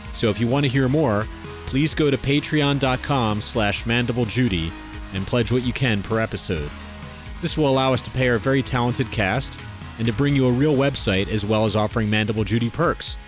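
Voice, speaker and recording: Mid-pitched man, wry podcast announcer. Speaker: host and announcer. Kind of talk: scripted narration.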